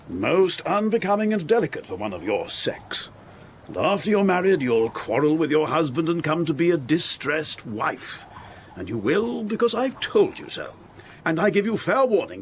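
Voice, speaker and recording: A pompous English alderman in a radio drama. His voice is fairly high and swings wildly in pitch as he bullies and condescends. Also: a little hiss or hum. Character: pompous, bullying, self-satisfied older male alderman and justice.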